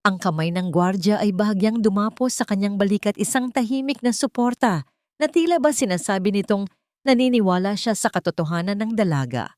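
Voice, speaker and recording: A woman's voice: medium pitch, expressive, brisk, darkish tone, no room sound. A woman narrating a scripted dramatic story in a solemn, suspenseful manner.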